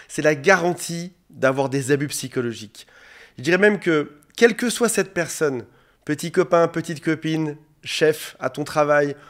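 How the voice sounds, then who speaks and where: A man in his forties with a fairly high voice, a little hoarse from a cold. He talks straight to the camera as a coach, earnest and direct, and addresses the viewer informally. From France. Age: 40s. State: has a cold, slightly hoarse voice. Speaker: relationship coach. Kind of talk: coaching video monologue. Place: indoor studio under bright lights. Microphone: facing a camera.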